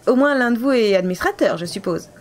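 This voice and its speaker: Woman playing a high-voiced, officious bank clerk. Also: expressive, quick pace, natural voice. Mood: puzzled, procedural. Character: fussy, procedure-bound female bank adviser. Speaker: voice actor. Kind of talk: amateur French audio comedy drama.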